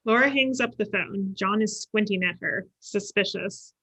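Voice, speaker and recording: A woman's voice: mid-range voice, moderate pace, sharp tone, husky. A woman giving scripted narration, reading out stage directions for a table read.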